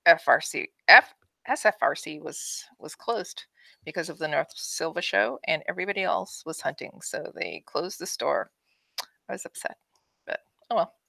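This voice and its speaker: A woman's voice: low-pitched, lively intonation, moderate pace, vocal fry, pushed and bright, dry recording. A woman co-hosting a casual podcast chat, chatty and upbeat. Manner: chatty, upbeat, trailing restarts. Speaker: podcast co-host.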